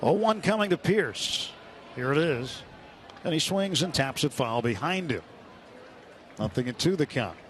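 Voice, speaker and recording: A man calling baseball play-by-play live on the radio in a measured, descriptive way. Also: on the high side, lively intonation. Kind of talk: live sports commentary. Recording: clear stadium noise behind live broadcast. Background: outdoor ballpark ambience.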